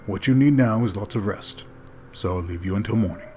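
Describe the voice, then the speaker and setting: Man playing a steady low-voiced ship's captain. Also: brisk, a little hiss or hum, close to an everyday voice. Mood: kindly, reassuring. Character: kindly, commanding male ship's captain. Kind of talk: audio drama acting.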